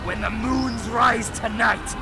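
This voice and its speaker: A fierce, defiant knight captain in a fantasy audio drama. His voice is very high for a man, with a gravelly edge, delivered in slow, commanding oratory over music. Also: fairly even intonation, full character voice. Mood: rousing, defiant. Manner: commanding, declamatory battlefield oratory.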